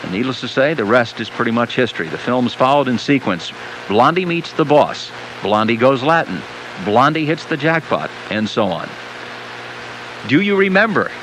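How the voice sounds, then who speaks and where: American guy hosting talk radio, easygoing announcer delivery, clearly noisy old cassette recording. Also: mid-range voice, big pitch swings, moderate pace, fairly bright tone. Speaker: radio interview host. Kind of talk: radio interviewing, conversational. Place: radio studio.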